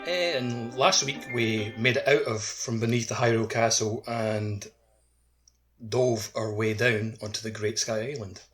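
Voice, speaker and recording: A man's voice: medium pitch, steady tone, unhurried, a bit bright, faint music behind. A man co-hosting a gaming podcast, chatting casually about a video game. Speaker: podcast co-host.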